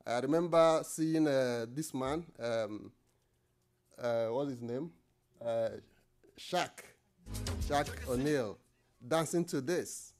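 A middle-aged Nigerian man giving a lecture at a podium microphone in an indoor lecture room, pausing often with fillers and restarts. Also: mid-range voice, darkish tone, faint music behind. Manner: hesitant, many fillers and restarts. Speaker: guest lecturer.

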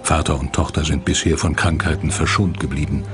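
Deep guy narrating a dramatic docu, music behind him. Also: steady tone, fairly quick. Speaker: documentary narrator. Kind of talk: scripted dramatic documentary narration.

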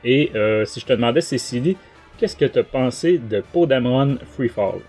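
A Québécois man with a mellow, soft-edged voice talks casually as a podcast host reviewing books. He rambles in colloquial speech, often hesitating, at an average pitch, with faint music behind him. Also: lively intonation, normal speed, husky. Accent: Québécois French. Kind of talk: casual book review chat.

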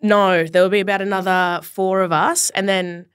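A woman's voice: mid-range voice, flat delivery, normal speed, clear, resonant tone, no room sound, studio quality. A young woman with an Australian accent, a podcast guest chatting casually, laughing often and swearing freely. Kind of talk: casual chat. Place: small podcast studio. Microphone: studio mic on boom arm.

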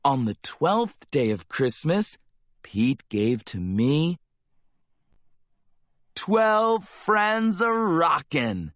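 Slow, very animated male storybook narrator. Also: on the high side, no echo, studio quality. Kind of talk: children's read-aloud of a rhyming Christmas picture book.

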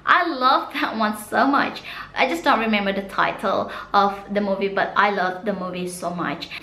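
A woman's voice: medium pitch, normal speed, sharp tone, echoey room. A woman in her thirties from Indonesia with an Indonesian accent, Sundanese her first language, chatting through a casual shopping haul and gushing over products. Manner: chatty, laughs often, gushes over products. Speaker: vlogger. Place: small room indoors.

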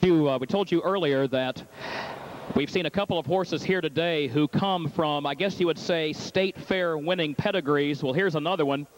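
A man's voice: a little high, a little hiss or hum, rough recording. A man working as track announcer, reading the entries and calling a harness race at a fair track. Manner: rapid, rising excitement in the stretch call. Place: racetrack announcer's booth at a fair.

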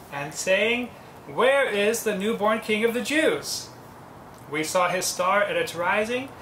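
A man in his thirties, a priest, preaching a lively homily outdoors under a tent on a lapel mic, acting out the people he quotes. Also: high-pitched. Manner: animated, gesturing, acts out quoted voices. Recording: echoey with faint ambient noise.

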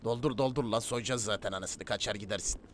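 A man's voice: fairly low pitch, quick pace, a little hiss or hum. A young man chatting casually in a game roleplay, bossy and slangy, swearing as he orders people around. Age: young adult. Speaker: gaming streamer playing a robbery gang boss.

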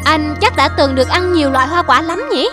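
A woman's voice: sits high, lively intonation, brisk. A woman doing a sly, polite cartoon parrot. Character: clever calm parrot tricking a bully. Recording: voice over loud music.

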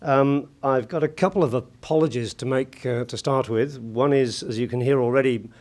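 A husky British man speaks at a lectern microphone, delivering a keynote to a conference audience. He talks in a measured, deliberate way, often pausing on small hesitations before pressing on. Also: mid-range voice, a little mellow. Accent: British English. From United Kingdom. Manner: measured, hesitant with many "uh" fillers. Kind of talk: formal conference talk. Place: large conference hall at a lectern.

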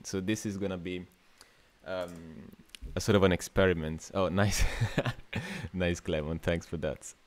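A man in his twenties with an Italian accent, hosting a casual livestream workshop in an informal, hesitant way, often thinking aloud. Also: low voice. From Italy. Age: 20s. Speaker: workshop host, new media artist. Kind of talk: casual livestream masterclass, chatting with viewers. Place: small indoor room.

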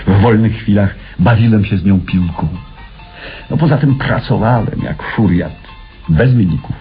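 A man acting in a vintage radio play as an eccentric, excitable chemist who rambles with melancholy, halting and then exclaiming theatrically. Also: deep voice. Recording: muffled old radio recording with music.

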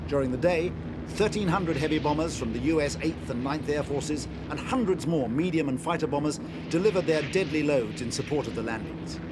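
A man's voice: a little high, a little fast. A man narrating a scripted television news report. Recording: voice-over with loud aircraft-like background noise.

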